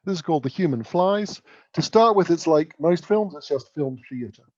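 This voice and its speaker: Guy doing a conference talk, kinda high voice, explains stuff with emphasis, muffled lo-fi audio. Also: animated, normal speed. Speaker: keynote speaker. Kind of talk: conference presentation. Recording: dull lo-fi sound.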